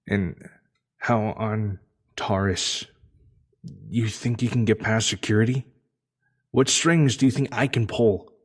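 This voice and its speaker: A man's voice: mid-range voice, breathy edge. A man acting an anxious, cautious former security spacefarer in a sci-fi audio drama, stammering and repeating himself.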